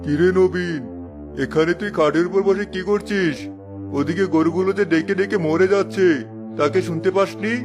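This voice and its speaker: A man's voice: sits high, fairly even intonation, moderate pace, over music, close to an everyday voice. A man playing a stern, bossy middle-aged village uncle in a cartoon. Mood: scolding. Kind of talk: Bengali cartoon voice acting.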